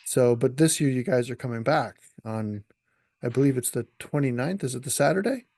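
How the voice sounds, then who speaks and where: A man's voice: mid-range voice, fairly quick, darkish tone, no room sound, clean studio sound. A man hosting a podcast in an easygoing chat, with many ums and frequent laughs. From New Brunswick, Canada. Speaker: podcast host. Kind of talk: casual interview chat.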